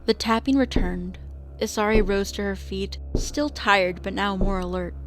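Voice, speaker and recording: A woman's voice: mid-range voice, measured pace, low-quality recording. A woman narrating a scripted radio drama as its storyteller.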